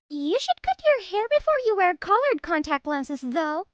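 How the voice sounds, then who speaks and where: A woman's voice: extremely high pitch, sing-song, measured pace, a bit bright, dry recording, muffled recording, full character voice. A woman voicing mocking teenage girl bullies in an anime-style dub, with a sneering, taunting manner. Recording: music bed behind voice.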